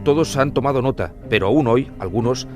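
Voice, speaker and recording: A man's voice: medium pitch, fairly quick, music in the background. A man with an accent from Spain, reading scripted true-crime narration.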